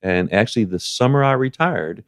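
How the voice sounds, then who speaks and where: An older man giving conversational interview answers in a halting, plain-spoken way, repeating words as he goes. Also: fairly low pitch, expressive, smooth, dry recording, clean studio sound. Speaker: guest, justice of the peace.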